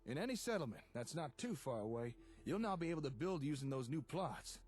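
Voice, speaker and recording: An actor playing an eager, friendly inventor in a video game, upbeat and conversational, with a husky voice. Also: male voice, a little high, very animated, brisk, a little mellow, soft background music. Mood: encouraging. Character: eager, friendly male inventor showing off settlement tech.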